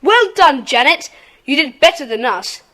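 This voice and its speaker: A confident boy leading his club voiced by a woman in a children's audio play. The voice is high, forceful and swings in pitch as he eagerly rallies the others. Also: lively intonation, unhurried. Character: decisive, encouraging schoolboy leader of a children's club. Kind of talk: children's audio drama acting.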